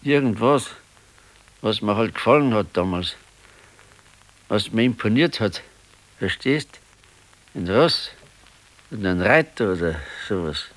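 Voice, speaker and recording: An actor playing an ageing, wistful husband in a naturalistic radio play, with a Bavarian accent and a halting, ruminative manner. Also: male voice, on the low side, lively intonation, rough recording. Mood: musing, wistful. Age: older. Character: ageing husband, musing wistfully over his past. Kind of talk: naturalistic radio drama acting.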